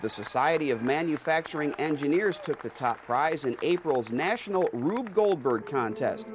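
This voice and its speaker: A man's voice: a little high, animated, moderate pace, very noisy. A man reading scripted news narration as the narrator of an institutional newsreel.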